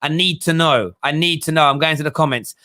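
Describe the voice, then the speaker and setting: A man's voice: fairly high pitch, brisk, bright, forceful tone. A man hosting a football fan livestream, chatting bluntly and emphatically about viewer comments and often repeating himself. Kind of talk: casual live fan talk, reading out viewer comments.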